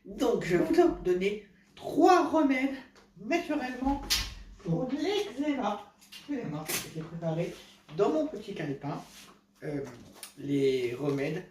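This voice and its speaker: A man's voice: sits high, big pitch swings, a little mellow, slight background noise. A man with a cold, chatting casually to camera at home at night, hesitant, often restarting and correcting himself. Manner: halting, many restarts and self-corrections. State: congested with a cold. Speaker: vlogger giving home remedy tips. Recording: roomy, from a distance.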